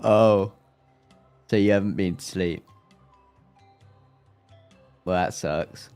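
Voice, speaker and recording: A man's voice: deep voice, takes it slowly, darkish tone, smooth, soft background music. A man giving casual, offhand commentary on a game livestream, with muttered asides. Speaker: streamer.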